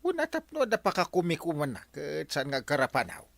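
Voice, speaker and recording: A man's voice: a little high, wildly swooping pitch, brisk, vocal fry, a bit bright, no room sound, exaggerated voice. A man with an Ilocano accent playing a scheming, exasperated village captain in a radio comedy drama. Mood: coaxing, excited.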